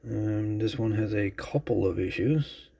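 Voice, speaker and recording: A man giving a casual, unscripted show-and-tell as a video host, musing haltingly and trailing off as he goes. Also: lowish voice, gravelly, mellow, slight background noise. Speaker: host of a radio repair channel.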